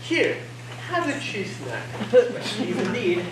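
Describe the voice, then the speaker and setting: Woman acting snippy competitive party guest in a stage play, pitch jumps around, far from mic with noise. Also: big pitch swings, takes it slowly. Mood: scornful, amused. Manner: insistent, theatrical, snippy. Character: haughty, competitive dinner guest swapping madhouse anecdotes. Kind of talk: amateur stage comedy acting. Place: school theatre stage. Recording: very echoey, distant, noisy stage.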